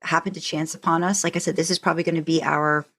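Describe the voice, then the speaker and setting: A woman co-hosting a podcast in a chatty, informal, animated way. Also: low voice, fast talker. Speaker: podcast co-host. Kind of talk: casual podcast chat.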